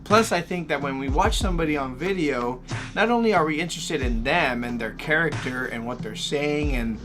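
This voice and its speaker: A man's voice: fairly high pitch, normal speed, music in the background. A man vlogging in a casual, conversational way, giving informal advice to camera with some emphasis. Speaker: YouTube vlogger.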